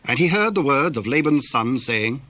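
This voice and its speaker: A man giving measured, formal scripted narration for a dramatized Bible reading. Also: medium pitch, expressive, bright, forceful tone.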